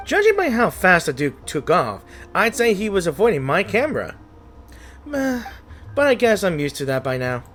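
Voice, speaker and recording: Upbeat young female reporter for a game, brisk and breezy, lively intonation, music underneath. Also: on the low side. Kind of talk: scripted game character dialogue.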